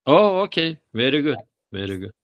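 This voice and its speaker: A man's voice: medium pitch, very animated, moderate pace, highs cut off. A man with a Turkish accent chatting casually and politely in an online meeting as a presenter, a little hesitant in his wording. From Turkey. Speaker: conference presenter.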